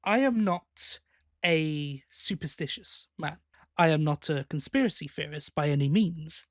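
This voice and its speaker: A man's voice: fairly high pitch, animated, measured pace, no room sound, clean studio sound. A man co-hosting a podcast, chatting casually about audio dramas, with hesitant starts and repeated words. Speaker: podcast co-host.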